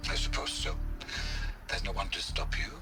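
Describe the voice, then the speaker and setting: A man's voice: medium pitch, normal speed, loud music behind the voice, lightly acted. A man playing a weary, trapped scientist in a video game audio log, dry, resigned and articulate. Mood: weary, sardonic. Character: weary male scientist trapped in a research facility, wry.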